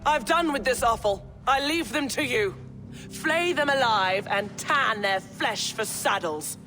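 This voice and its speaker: A woman's voice: on the high side, lively intonation, measured pace, music in the background. An actress playing an imperious, foul-mouthed Saxon noblewoman in a video game, contemptuous and commanding. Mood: scornful. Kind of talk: video game cutscene acting.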